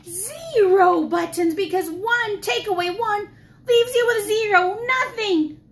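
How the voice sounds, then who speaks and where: A woman preschool teacher reading a picture book aloud to young children in an exaggerated, expressive way, asking questions as she goes. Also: very high voice, slow pace. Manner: gasps and drops to whispers for suspense. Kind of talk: animated children's storytime read-aloud.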